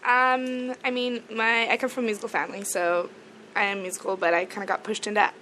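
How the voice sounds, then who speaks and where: A woman's voice: medium pitch, little pitch movement, a little fast, bright, forceful tone, slight background noise. A young woman from South Africa, a native Afrikaans speaker, chatting easily as a musician guest, often giggling and joking dryly. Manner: giggly, easygoing, dry jokes. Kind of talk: casual interview chat.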